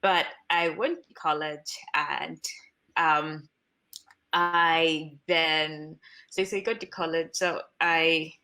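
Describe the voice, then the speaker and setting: A woman's voice: low-pitched, steady tone, takes it slowly, sharp tone. A woman in her twenties from Zambia, telling her story on a video call, chatty with lots of likes and ums. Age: 20s. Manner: chatty, many fillers of "like" and "um", laughs easily. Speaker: guest. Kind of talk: casual interview storytelling.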